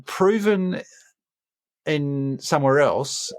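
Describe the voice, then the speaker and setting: A man hosting a casual interview podcast, talking in measured short phrases with pauses between them. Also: a little high, no echo. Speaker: podcast host.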